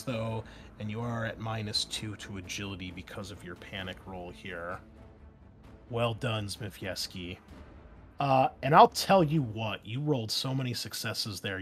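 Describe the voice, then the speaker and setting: A man with a middling pitch runs a tabletop roleplaying game. He narrates off the cuff and talks through rules conversationally, with a wry edge. Faint music plays behind him. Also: a bit bright. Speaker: game master of a tabletop RPG.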